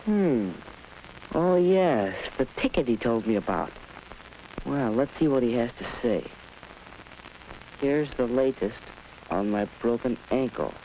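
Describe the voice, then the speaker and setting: A man's voice: mid-range voice, lively intonation, slow pace, long pauses, mellow, rough recording. Earnest American teen boy, 1940s educational film; hesitant, nervous, boyish; light soundtrack hiss. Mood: musing. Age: teenager. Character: earnest, nervous teenage boy planning first date. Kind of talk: 1940s instructional film acting.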